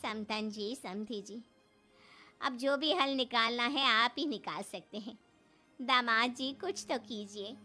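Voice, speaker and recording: A woman voicing an indignant middle-aged mother in a cartoon moral story, sharp and emphatic in manner. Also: fairly high pitch, slow pace, soft background music. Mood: pleading. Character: shrill scolding middle-aged mother, quick to take offense. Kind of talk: animated Hindi moral story acting.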